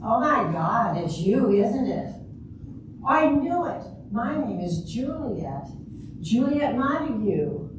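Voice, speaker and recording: In a very reverberant, noisy hall, far from the microphone, an older woman plays a wistful divorcée in a stage comedy reading. She is chatty and deliberate, taking her lines slowly. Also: fairly high pitch, sing-song. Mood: starstruck. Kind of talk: amateur readers' theater comedy scene.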